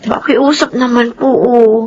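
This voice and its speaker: A woman's voice: a little high, steady tone, measured pace, darkish tone, lo-fi sound, slight background noise, lightly acted. An actress playing a prickly but earnest, lovestruck young woman student in a Filipino radio drama. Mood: pleading.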